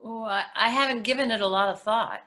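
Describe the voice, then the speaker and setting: Woman as a bright-voiced, hesitant young girl. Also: on the high side, a little fast, close to an everyday voice. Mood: embarrassed. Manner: hesitant, earnest. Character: shy, awkward, candid twelve-year-old girl interviewer. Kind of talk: staged reading of a play.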